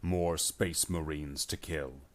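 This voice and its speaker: Man acting massive, very deep brutish orc war boss. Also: steady tone, slow. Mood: savage gloating. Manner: bellowing, savage and gloating. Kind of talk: dramatic fantasy audiobook.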